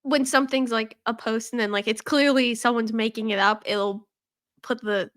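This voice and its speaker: A woman's voice: a little high, fairly even intonation, normal speed, fairly bright tone, no room sound, studio quality. A woman co-hosting a podcast, congested from a cold, rambling with lots of "like" and frequent laughs. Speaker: podcast co-host. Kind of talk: casual chat. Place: podcast studio.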